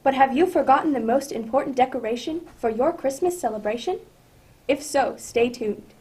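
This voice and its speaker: A woman's voice: high voice, normal speed, a little mellow, lightly acted. A woman playing an affable old-time radio announcer with chummy, polished patter. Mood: salesmanlike cheer. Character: Mister Bartell, affable radio announcer and eager guest.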